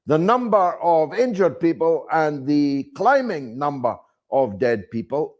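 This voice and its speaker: Slow, deliberate male political commentator. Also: a little high. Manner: deliberate, rhetorical, emphatic phrasing with repeated questions. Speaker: political commentator delivering a monologue. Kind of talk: spoken political commentary to an audience.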